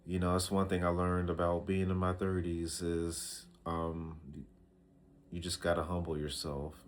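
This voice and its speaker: A man in his early thirties talking to a camera, giving casual life advice in a slow, reflective way, often repeating himself with fillers and restarts. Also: sits low, completely flat delivery. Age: 30s. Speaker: vlogger.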